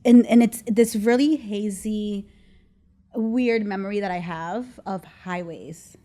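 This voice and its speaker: A woman's voice: mid-range voice, takes it slowly, mellow. A woman in her thirties from Mexico, a podcast guest talking in a reflective, casual way with frequent ums and restarts. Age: 30s. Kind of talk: casual interview.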